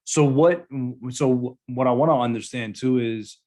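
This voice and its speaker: Man, podcast host, casual, hesitant, mid-pitched voice. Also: a little slow. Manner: relaxed, hesitant, many ums.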